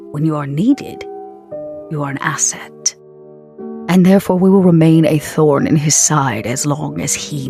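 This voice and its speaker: Woman playing a low-voiced scheming vampire businesswoman. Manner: deliberate, pausing, formal.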